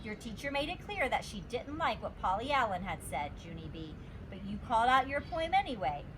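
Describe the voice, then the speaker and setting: A woman voicing a stern but kindly male school principal in a children's storybook, speaking in a deliberate, admonishing way. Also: on the high side, very animated, noisy background. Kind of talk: children's storybook character reading.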